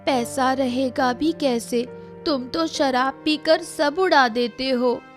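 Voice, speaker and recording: A woman plays a stubborn young schoolgirl from a village cartoon. The voice is high and slow, plaintive and pleading, with soft music behind. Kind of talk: Hindi cartoon story voice acting.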